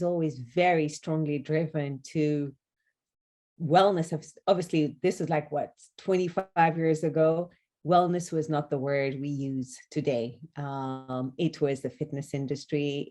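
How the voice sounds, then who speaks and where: Low, mellow-voiced woman explaining earnestly. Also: steady tone, a little slow. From Kenya. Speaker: wellness consultant and author, podcast guest. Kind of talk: conversational interview answers.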